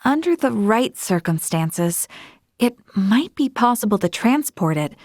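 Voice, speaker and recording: A woman's voice: mid-range voice, very animated, a little slow, darkish tone, no echo, clean studio sound. An actress voicing an earnest, modest young woman, a space officer from a prestigious family, in a video game, speaking politely and sincerely. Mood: hesitant. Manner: polite, self-effacing, sincere.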